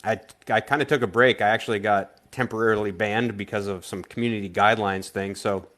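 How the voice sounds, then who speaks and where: A man from Vermont, a guest artist on a casual live-stream interview, speaking in a halting way with frequent restarts. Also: on the low side, fairly even intonation, a bit bright. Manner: halting, stops and restarts often.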